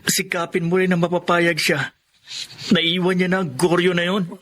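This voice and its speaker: Hurt, earnest younger brother. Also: male voice, high-pitched, flat delivery, normal speed, fairly bright tone, slight background noise. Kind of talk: radio drama acting.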